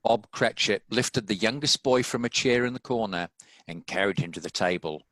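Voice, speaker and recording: A man's voice: lowish voice, moderate pace, a bit bright, no echo. A man reading scripted story narration as the narrator of a dramatic table read.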